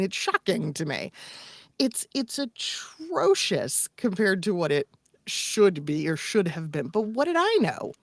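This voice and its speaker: A woman coaching in casual talk, emphatic and expressive, acting out imagined quotes. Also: medium pitch, no echo, clean studio sound. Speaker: voice-over coach and podcast co-host.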